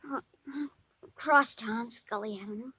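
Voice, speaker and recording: An actor playing a shy but tough-talking ten-year-old boy in 1950s radio drama, with halting, slangy speech. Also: mid-range voice, bright, forceful tone, slightly rough, no room sound, poor audio. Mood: halting, wistful. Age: child figure, almost eleven. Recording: dry vintage 1950s radio recording.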